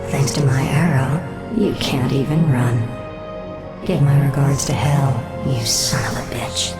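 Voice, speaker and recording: A vengeful undead elf queen, low and coldly menacing. She speaks with deliberate, bitter theatricality, as in a fantasy game cutscene, under loud music. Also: fairly even intonation. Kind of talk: dramatic fantasy video game cutscene acting.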